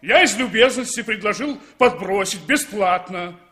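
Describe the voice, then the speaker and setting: A man's voice: high voice, moderate pace, a bit bright. A man playing a pushy, huffy motorist in a 1960s radio drama, insistent and easily affronted. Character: pushy, huffy male motorist offering lifts.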